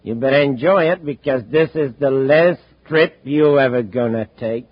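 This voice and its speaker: An actor playing a brutal, bossy space-thief gang leader in a 1950s radio drama, menacing and barking orders. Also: male voice, mid-range voice, moderate pace, a little hiss or hum, lightly acted. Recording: dull vintage 1950s radio recording.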